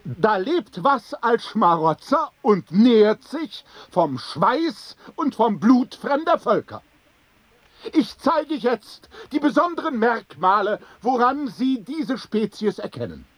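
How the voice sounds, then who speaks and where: A man's voice: extremely high pitch, big pitch swings, slow, dry recording, exaggerated voice. A man playing a taunting, pompous bully who leads a rowdy gang, lecturing with mock politeness in a radio play. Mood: gleefully contemptuous lecturing. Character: jeering, sadistic antisemitic bully, leader of a rowdy men's group. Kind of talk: German radio play acting.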